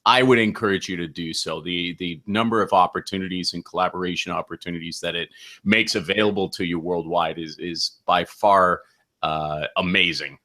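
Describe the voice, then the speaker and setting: A man's voice: deep voice, steady tone, moderate pace, sharp tone. A man hosting a casual video chat, speaking in an upbeat, enthusiastic way. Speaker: host, co-founder of the chat.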